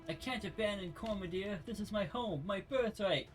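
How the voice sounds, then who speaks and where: A man's voice: high voice, normal speed, voice pushed up, close to an everyday voice. A man voicing a proud, defiant royal heiress, reading game dialogue aloud dramatically. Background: game music.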